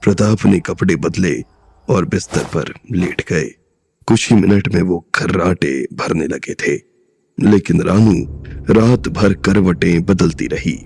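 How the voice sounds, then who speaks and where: A man narrating an audio drama in a steady, scripted storytelling manner. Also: low voice, measured pace, vocal fry, slightly rough.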